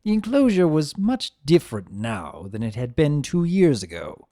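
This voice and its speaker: Mellow, expressive story narrator. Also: medium pitch, moderate pace, no echo, clean studio sound. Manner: theatrical, expressive. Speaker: narrator of a fan fiction reading. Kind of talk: dramatic scripted narration.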